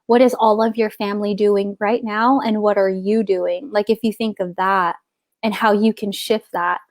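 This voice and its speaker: Middle-aged woman guest, casual and meandering, mid pitch, dry close recording. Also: fairly even intonation, moderate pace, dry recording. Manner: rambling, searching for words with many restarts. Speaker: guest author. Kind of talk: casual spiritual conversation.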